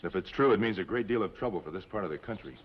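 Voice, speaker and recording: An actor playing a calm, serious, authoritative hero in an old television western, speaking articulately. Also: male voice, deep voice, very fast. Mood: grave. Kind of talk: 1950s television western acting. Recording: muffled, noisy old TV western soundtrack.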